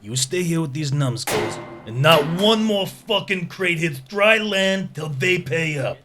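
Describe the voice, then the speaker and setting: An actor playing a cocky dockside union gangster in an audio drama. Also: male voice, on the high side, expressive, unhurried, pushed and bright, soft background music. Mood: wary, defiant.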